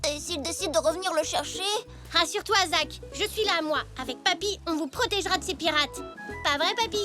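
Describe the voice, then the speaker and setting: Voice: extremely high pitch, brisk. A performer voicing an eager, exclamatory young zebra child in a French children's cartoon, with music behind. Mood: earnest. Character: excitable young zebra children playing pirates.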